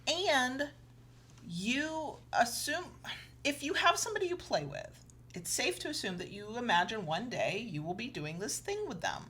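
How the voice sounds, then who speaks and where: A woman with a mid-pitched voice talks as a co-host on an educational show. She explains in a conversational style, stressing her key points as she gives advice. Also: pushed and bright.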